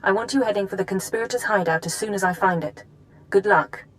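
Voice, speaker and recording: Woman acting as female spy handler, mid-pitched voice, precise, businesslike, game drama briefing. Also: quick pace, close to an everyday voice, low-quality recording. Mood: focused, brisk.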